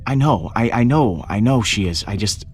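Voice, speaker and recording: A man playing a dazed young space adventurer in an animated game, subdued and halting. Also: fairly low pitch, a little hiss or hum, lightly acted. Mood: shaken, hesitant. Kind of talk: animated game drama acting.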